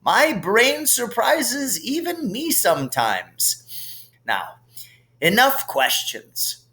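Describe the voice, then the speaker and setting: A man voicing an elderly, genial, whimsical wizard headmaster who muses in a dreamy, unhurried way. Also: extremely high pitch, wildly swooping pitch, bright, forceful tone, voice pushed up, full character voice. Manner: unhurried, musing.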